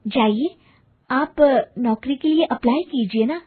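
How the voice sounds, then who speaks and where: A woman voicing a polite, educated young bride who speaks respectfully and urges gently. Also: sits high, takes it slowly, husky, narrow, tinny audio, heavily acted. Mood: gently concerned.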